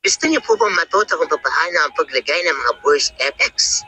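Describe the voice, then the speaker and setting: A man voicing an excited trickster through a voice-changer filter, urging people on in a short promotional hook. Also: fairly high pitch, fairly quick, slightly rough, heavily acted. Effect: app voice-changer filter.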